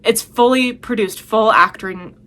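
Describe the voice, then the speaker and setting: A woman's voice: on the high side, unhurried, fairly bright tone. A woman talking casually as a video host, giving chatty, emphatic and enthusiastic recommendations.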